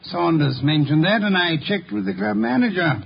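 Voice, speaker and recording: Old radio show, muffled, pompous genius detective, gravelly and kinda high, dry sarcastic. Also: male voice, expressive, moderate pace, exaggerated voice. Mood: assured, accusing. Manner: ponderous, precise, dryly sardonic. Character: brilliant, eccentric, unflappable older male detective, imperious. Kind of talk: 1950s radio drama acting. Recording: dull, noisy 1950 radio recording.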